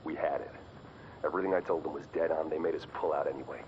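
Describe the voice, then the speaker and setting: An actor playing a bitter male agent urging a comrade to betray his side, calm and persuasive. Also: very deep voice, fast talker, highs cut off, slight background noise. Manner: calm, persuasive, grows intense.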